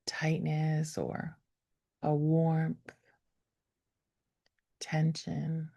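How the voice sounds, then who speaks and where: A woman leading a guided meditation, speaking gently and without hurry, with soft, breathy phrase endings that fall toward a whisper. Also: low voice. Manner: gentle, unhurried, with long pauses. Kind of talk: guided meditation, slow soothing instruction.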